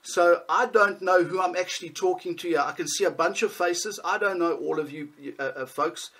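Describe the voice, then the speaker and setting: A man in his thirties with a Southern African accent, teaching Bible lessons over a video call, talking with many pauses and restarts. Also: high-pitched, fairly bright tone. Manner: hesitant, many ums and restarts.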